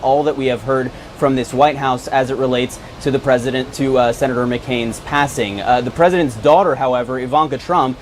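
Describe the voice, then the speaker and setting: American man reporting live, mid-pitched voice. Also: a little hiss or hum. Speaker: TV news correspondent. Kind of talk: live broadcast news report.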